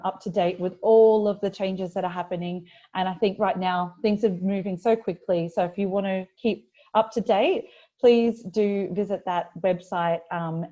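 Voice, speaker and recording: Woman hosting a webinar, warm mellow tone, friendly, lots of ums, dull lo-fi video call sound. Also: medium pitch. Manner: friendly, many fillers. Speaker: webinar host. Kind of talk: webinar presentation. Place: office in the United Kingdom.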